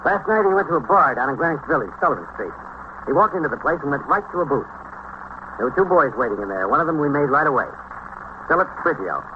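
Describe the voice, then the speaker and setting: A determined detective captain in an old radio crime drama. He delivers clipped, factual reports at a quick pace. The recording is muffled and noisy. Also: fairly high pitch, big pitch swings. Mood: matter-of-fact. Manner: clipped, matter-of-fact case reporting. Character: determined male safe squad detective captain. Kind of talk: 1950s radio drama acting.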